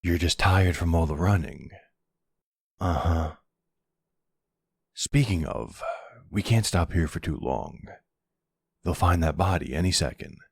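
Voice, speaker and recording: A man playing a flirty, cocky vampire with a gravelly, husky voice, teasing the listener in an intimate ASMR roleplay. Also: deep voice, a little slow, long pauses, dry recording, clean studio sound, exaggerated voice. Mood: exhilarated, teasing. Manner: teasing, sardonic drawl.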